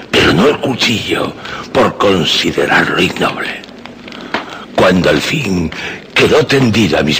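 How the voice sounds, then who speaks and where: A rugged, solemn mountain man of radio drama. The voice is extremely low and gravelly, moving slowly and haltingly with grave weight. Clear noise runs through the recording. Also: heavily acted. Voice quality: very deep gravelly voice. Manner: slow, grave, halting storytelling. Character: rugged, solemn wolf-fighting uncle, a taciturn mountain man. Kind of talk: Spanish radio drama acting.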